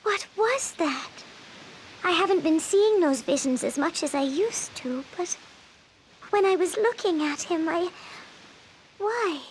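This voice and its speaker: A woman voicing an anxious young girl of a tailed fantasy people in an English video game dub, with a timid, halting delivery. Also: extremely high pitch, lively intonation, slight background noise, exaggerated voice, poor audio. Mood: frightened, shaken. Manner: timid, halting, trembling when afraid.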